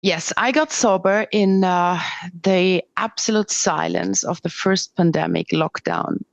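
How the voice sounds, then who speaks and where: Candid Swiss German woman, low voice. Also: very animated, creaky, no echo, muffled recording. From Switzerland. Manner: earnest, candid, with halting restarts. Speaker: podcast guest. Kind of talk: interview conversation.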